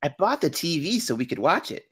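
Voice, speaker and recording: A woman's voice: sits low, very animated, a little fast, slightly rough, full character voice. A woman playing an earnest, sensitive young son at a script table read.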